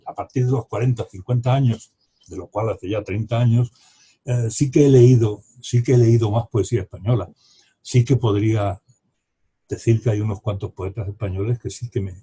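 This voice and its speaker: A man's voice: fairly low pitch, warm tone, breathy edge. A man in his seventies, from Morocco, who speaks as a novelist guest at a book club over a video call. He talks in long, rambling turns, restarting sentences and trailing into asides. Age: 70s. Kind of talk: casual reflective conversation.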